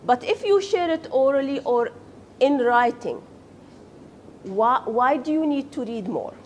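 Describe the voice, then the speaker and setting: A woman's voice: high-pitched, animated, unhurried, darkish tone, a little hiss or hum. A woman whose first language is Arabic, teaching a class and quizzing her students, then praising their answers. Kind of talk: classroom lecture with questions.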